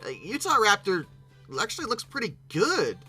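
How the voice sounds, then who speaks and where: A YouTube host giving casual, humorous reaction commentary in a halting, bemused way, often repeating words. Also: medium pitch, huge pitch swings, sharp tone, slightly rough, soft background music.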